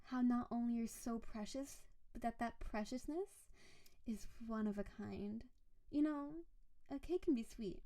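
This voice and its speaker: Woman acting a gushing, high-pitched yandere snow-spirit wife. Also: mellow. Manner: gushing, cooing, dramatic emphasis on endearments. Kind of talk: romantic roleplay audio drama, intimate monologue to a listener.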